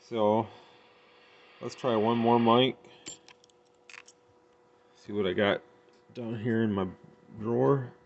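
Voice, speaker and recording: Male hobbyist reviewer, low creaky voice, tentative and informal. Muffled lo-fi home recording with faint noise. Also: fairly even intonation, slow pace, pauses often. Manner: unpolished, tentative, thinking aloud. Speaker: CB radio hobbyist reviewing gear. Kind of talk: casual hands-on gear demonstration.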